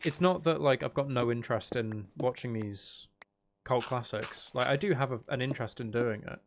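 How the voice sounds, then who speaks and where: A man with a creaky, fry-laden voice at an ordinary male pitch talks casually on a gaming livestream. He rambles and hesitates, thinking out loud as he goes. Faint noise and clicks sit behind him. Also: normal speed. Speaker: streamer.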